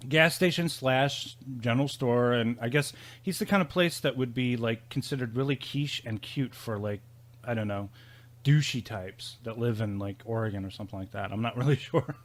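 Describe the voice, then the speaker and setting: A man's voice: medium pitch, normal speed. A man hosting a podcast in a loose, joking, unscripted way, rambling through his thoughts. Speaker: podcast host. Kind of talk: casual, unscripted comic chat. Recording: clearly noisy background.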